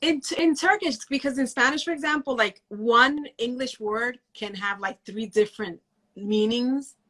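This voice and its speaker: Woman guest educator, earnest and emphatic, with a bright, slightly high voice. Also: moderate pace, rough recording. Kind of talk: casual podcast chat.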